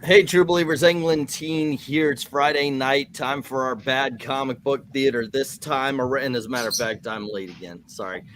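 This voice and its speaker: A man from the United States hosting a casual comic book read-along stream, rambling and joking in a halting way. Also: mid-range voice, fairly even intonation, a bit bright, slight background noise. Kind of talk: casual rambling livestream chat.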